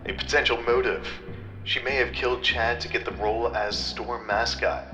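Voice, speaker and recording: A man playing a self-serious, dim-witted private detective, narrating his case with deadpan drama in a comedy noir parody. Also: medium pitch, normal speed, reverberant, music in the background, close to an everyday voice, poor audio. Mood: suspicious.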